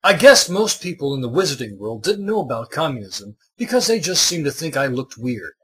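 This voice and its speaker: A man narrating a scripted comic story with a husky voice. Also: mid-range voice, sing-song, fairly quick, fairly bright tone.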